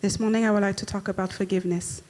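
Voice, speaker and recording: A woman interpreting a preacher's sermon phrase by phrase, in a plain and steady way. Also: medium pitch, fast. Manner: renders each phrase promptly, plain and steady.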